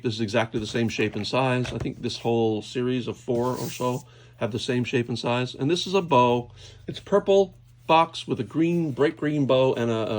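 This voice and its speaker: A man hosting a casual, unscripted toy unboxing, thinking aloud hesitantly as he handles things. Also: mid-range voice. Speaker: toy unboxing video host. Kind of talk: casual unscripted hands-on commentary. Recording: clear background noise, clicking handling sounds.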